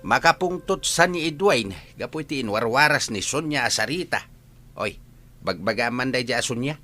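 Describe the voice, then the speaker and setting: A man's voice: medium pitch, animated, normal speed, bright, forceful tone, soft background music. A man playing an indignant character annoyed by gossip in an audio drama. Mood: indignant, complaining. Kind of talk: Filipino audio drama acting.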